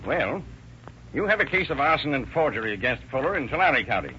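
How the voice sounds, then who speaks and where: An actor playing a brisk, commanding police chief in a 1930s radio drama. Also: male voice, medium pitch, sing-song, pushed and bright. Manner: brisk, commanding, summing things up. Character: authoritative police chief running a meeting. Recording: dull old radio recording with hiss.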